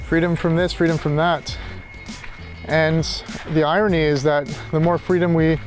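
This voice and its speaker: A man rambling casually and reflectively in a halting monologue as he walks outdoors, thinking aloud. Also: a little high, level tone, soft background music, poor audio. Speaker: philosophy tutor vlogger. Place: outdoors, walking.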